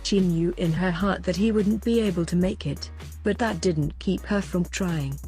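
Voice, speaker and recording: A low-pitched woman narrates a scripted feel-good story. Her voice is mellow and relaxed, with faint music playing behind her. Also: moderate pace.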